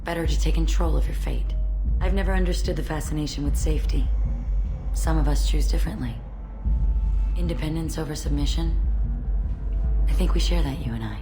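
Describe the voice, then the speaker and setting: A seductive alien huntress from a video game, played by a woman, with a low, sultry voice. She speaks with soft menace, like a killer who is drawing someone close. Loud music plays behind her. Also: close to an everyday voice. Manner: sultry, slow-burning, softly menacing.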